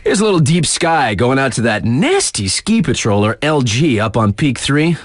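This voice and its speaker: Hip, animated radio DJ guy. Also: mid-range voice, moderate pace, dry recording, clean studio sound. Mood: upbeat, cool. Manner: slangy, cocky, playful. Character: hip, laid-back male snowboard-mountain radio DJ. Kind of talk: casual radio DJ patter, introducing tracks.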